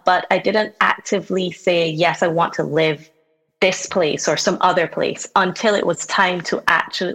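A young woman from Barbados speaks as a podcast guest in relaxed conversation. Her voice sits a little low and she talks in a thoughtful, easygoing way, laughing now and then. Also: low-quality recording. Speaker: podcast guest, visual artist. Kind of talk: casual conversation. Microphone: remote recording from home setup.

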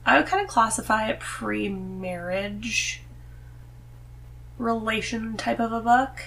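Woman with creaky voice, chatting casually. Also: a little high, slow pace, a bit bright, a little hiss or hum, low-quality recording. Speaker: podcast guest. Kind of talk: casual chat about books.

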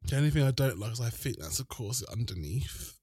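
Man, husky mid-range voice, dry close sound, casual podcast co-host, hesitant fashion commentary. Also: medium pitch, expressive, brisk, a little mellow, no echo.

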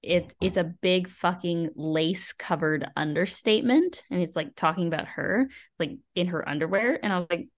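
A woman's voice: lowish voice, normal speed, hardly any pauses. A woman co-hosting a book podcast, giving opinions in casual, exclamatory talk peppered with "like". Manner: informal, exclamatory, frequent "like".

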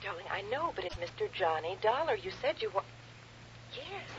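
A woman's voice: mid-range voice, very animated, moderate pace, close to an everyday voice. A woman playing a polite, slightly flustered wife on the telephone in a vintage radio drama, courteous and hesitant. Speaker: radio actress in a supporting part. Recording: muffled, noisy old radio broadcast.

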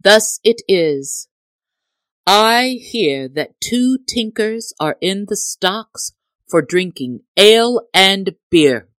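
A woman's voice: medium pitch, lively intonation, extremely slow, dry recording, pitched above the performer's own voice. A woman voicing a jolly, teasing young male outlaw in hearty, archaic storybook speech. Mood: mock grave.

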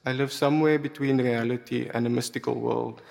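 A man speaks calm, reflective statements in an art video. His voice is gravelly, and the playback echoes with the long reverb of a large hall. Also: mid-range voice, brisk.

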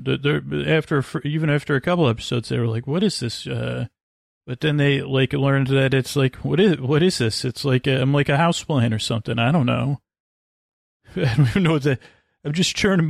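A man hosting a sleep podcast in a rambling monologue, muttering and halting as he wanders off into tangents. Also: medium pitch, gravelly, no room sound, clean studio sound. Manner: meandering, halting, frequently trailing off into tangents. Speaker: podcast host.

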